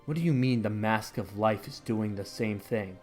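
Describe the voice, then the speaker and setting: A man playing a guarded, terse hero in an animated fan dub. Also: lowish voice, moderate pace, soft background music, lightly acted. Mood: guarded, uncertain.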